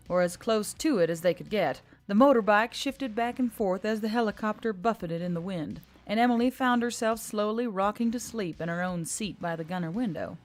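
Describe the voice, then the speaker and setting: A woman reading scripted fiction as a story narrator. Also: medium pitch.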